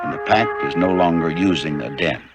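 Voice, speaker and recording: A man narrating an educational nature documentary in a measured, informative way. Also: low-pitched, dull audio, over loud music. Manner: measured, even, informative delivery. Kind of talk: scripted narration.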